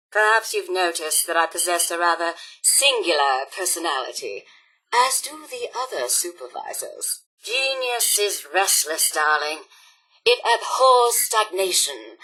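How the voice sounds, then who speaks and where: A woman's voice: lowish voice, bright, forceful tone, slightly rough, exaggerated voice, rough recording. A woman voicing a glamorous, haughty female robot supervisor in a video game, with a grand, affected theatrical drawl. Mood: proud, theatrical. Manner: grand, affected diva drawl, calls everyone darling.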